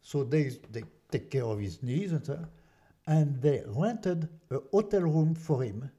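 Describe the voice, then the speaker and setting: A man's voice: a little high, expressive, measured pace, muffled recording. An older man with a French accent, a French native speaker giving an interview, emphatic and prone to repeating himself. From France. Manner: emphatic, repeats words, drops into quiet asides. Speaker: interview guest. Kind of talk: conversational interview.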